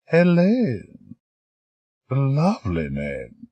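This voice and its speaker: A man voicing a spry elderly woman, a grand old stage star, brisk and sharp-tongued but amused. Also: mid-range voice, huge pitch swings, husky, no room sound, dull audio, pitched above the performer's own voice, full character voice. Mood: kindly, chatty. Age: older.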